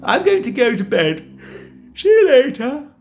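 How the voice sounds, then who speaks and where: A fussy, sleepy giantess, as a man puts her on in a storybook, speaking in a high, sing-song voice that is dismissive and brushes everything off. The voice is lively and lilting, with a gravelly edge underneath. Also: unhurried, slight background noise, heavily acted.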